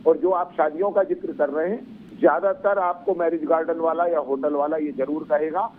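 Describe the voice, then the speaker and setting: A man's voice: a little high, fairly even intonation, moderate pace. A male political spokesman arguing in a live TV debate, explaining and repeating phrases for emphasis. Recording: thin phone-like line, faint noise.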